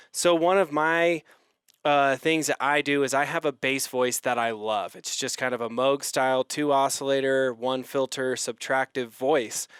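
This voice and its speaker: Casual male tutorial host, smooth clear voice, dry close sound, easygoing step-by-step explainer. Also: on the high side, no room sound. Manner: relaxed, explains step by step with filler ums. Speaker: synth module demonstrator.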